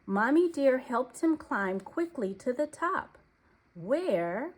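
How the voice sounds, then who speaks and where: Slow, expressive woman reading a storybook. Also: sits high, slight background noise. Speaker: storybook narrator. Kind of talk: children's read-aloud narration.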